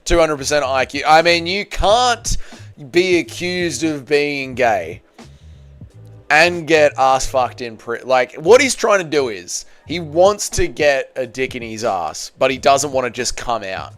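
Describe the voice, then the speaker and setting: A man's voice: medium pitch, animated, unhurried, fairly bright tone, faint music behind. A man in his thirties hosting a casual livestream, talking in a blokey, crude, repetitive way to his viewers. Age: 30s. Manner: blokey, crude joking, repeats himself, addresses viewers as boys. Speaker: livestream host. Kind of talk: casual livestream chat about MMA, reading out viewer comments.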